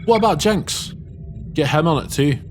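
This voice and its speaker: A man's voice: on the high side, animated, moderate pace, over music, natural voice. A man playing an easygoing, teasing starship chief in a sci-fi audio drama. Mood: wry, casual.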